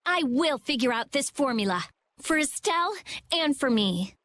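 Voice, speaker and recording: A woman's voice: sits high, lively intonation, normal speed, fairly bright tone. A woman playing a determined, blunt teenage girl mage and researcher in a video game. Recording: music under the voice.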